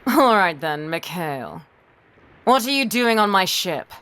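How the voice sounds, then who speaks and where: A woman's voice: medium pitch, sing-song, a little slow, fairly bright tone. A woman playing a stern, cold ship's captain in an audio drama, curt and imperious. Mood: suspicious, threatening.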